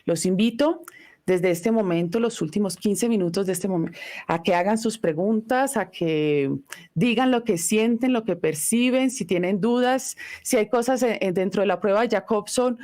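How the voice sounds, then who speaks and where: A middle-aged woman whose first language is Italian, giving a live online voice lesson in an unhurried, reflective teaching manner. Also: medium pitch, darkish tone.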